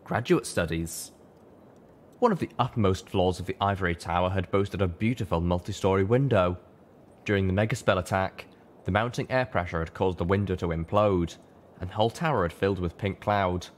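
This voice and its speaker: A man with a low voice narrates a fantasy tale. He reads scripted first-person prose as an audiobook storyteller. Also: brisk. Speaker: audiobook reader.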